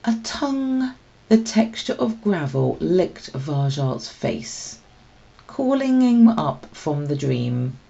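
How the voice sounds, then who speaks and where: A woman reading a children's story aloud as expressive scripted narration. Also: low-pitched, slow pace, vocal fry, dull audio. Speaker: storybook reader.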